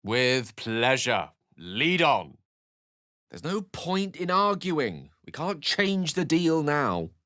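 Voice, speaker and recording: An actor playing a learned, dramatic wizard adventurer in a video game, speaking in an articulate, theatrical storytelling manner. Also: male voice, on the high side, very animated, takes it slowly, pushed and bright, no room sound, studio quality, heavily acted. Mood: alert, musing, then exasperated. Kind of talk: scripted video game character lines and narration.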